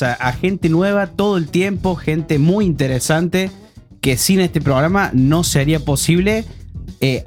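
A man with a Córdoba Argentine accent chats on community radio as a co-host. His voice sits a little high, and his cheerful talk rambles with fillers. Faint music plays behind him. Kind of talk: casual radio chat.

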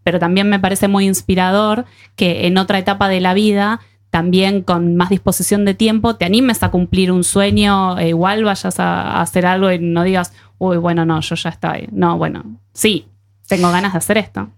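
A woman's voice: lowish voice, steady tone, normal speed. A woman with an Argentine accent hosting a show in a casual, colloquial and encouraging conversational way. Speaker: radio show host.

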